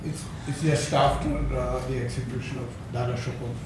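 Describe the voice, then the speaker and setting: An older man giving a conference talk, hesitant with many fillers and soft chuckles. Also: mid-range voice, level tone, a little fast. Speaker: academic speaker. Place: lecture hall. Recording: echoey hall, distant mic, noisy.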